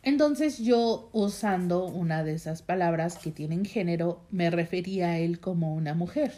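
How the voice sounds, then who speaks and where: A woman's voice: fairly low pitch, moderate pace, dark tone. A young woman with a Mexican accent tells a personal story in a casual, rambling way, with many fillers and asides to her viewers. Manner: chatty, rambling, heavy use of fillers, addresses viewers directly. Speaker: vlogger telling a personal story.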